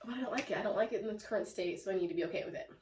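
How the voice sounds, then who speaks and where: A young woman with a sick, congested, raspy and nasal voice, chatting casually in a home plant vlog with lots of fillers. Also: medium pitch, very fast, darkish tone. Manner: chatty and self-deprecating, lots of "like" and "um". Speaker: plant vlogger. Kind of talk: casual rambling vlog chat. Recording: echoey room, mic at a distance.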